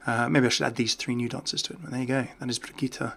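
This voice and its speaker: Man, casual gameplay commentary, bright forceful tone, frequent vocal fry, chatty, enthusiastic, unscripted. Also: medium pitch, little pitch movement, fast. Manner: chatty, enthusiastic about small details. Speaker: video game commentator.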